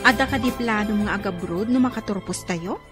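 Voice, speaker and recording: A woman's voice: mid-range voice, fairly quick, loud music behind the voice, natural voice. An actress playing a curious, supportive young woman friend in a radio drama.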